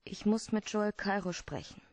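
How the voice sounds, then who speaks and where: An actress in a German radio play as a secretive, seductive femme fatale client, guarded and formally beseeching. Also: female voice, mid-range voice, moderate pace, a little mellow, no echo, close to an everyday voice. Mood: anxious.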